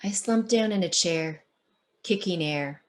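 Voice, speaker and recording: A woman author who reads aloud and talks in an expressive, deliberate storytelling manner. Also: lowish voice. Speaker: children's book author. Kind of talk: picture-book read-aloud and conversation.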